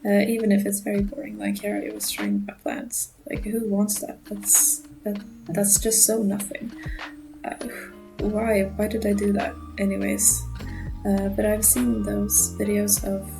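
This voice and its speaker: A young woman with a non-native English accent gives a casual, nervous voiceover over her drawing, speaking in a monotone and mumbling a little, with frequent small laughs. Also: medium pitch, measured pace, vocal fry, rough recording. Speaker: art channel creator. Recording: music playing underneath.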